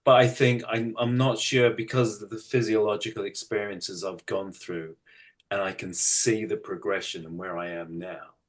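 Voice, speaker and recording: A man's voice: fairly low pitch, level tone, normal speed. A middle-aged British man talking casually as an interview guest, explaining things in a matter-of-fact, self-deprecating way. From United Kingdom. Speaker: interview guest, energy practitioner and massage therapist.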